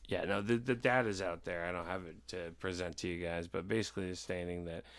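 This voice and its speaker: A podcast host with a low voice talks casually and informally. The delivery is opinionated and emphatic. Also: steady tone, a little fast.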